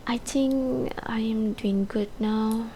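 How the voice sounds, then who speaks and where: A woman's voice: fairly high pitch, steady tone, slow pace, dark tone, a little hiss or hum. A young woman playing a fragile, depressed therapy patient in an amateur radio drama, hesitant and sometimes near whispering. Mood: fragile, withdrawn.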